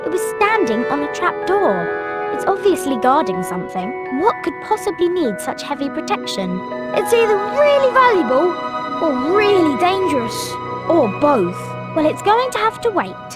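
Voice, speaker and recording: A woman's voice: very high voice, big pitch swings, normal speed, over loud music. An actress playing a bossy, bookish young schoolgirl witch in a video game, with an emphatic, lecturing way of talking. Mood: scolding, intrigued. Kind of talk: video game cutscene acting.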